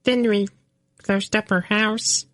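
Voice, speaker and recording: A man doing a tiny, very high female fenling. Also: takes it slowly, no room sound. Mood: sad. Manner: very high piping, calm and plain. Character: small furry young female fenling, calm, stubborn.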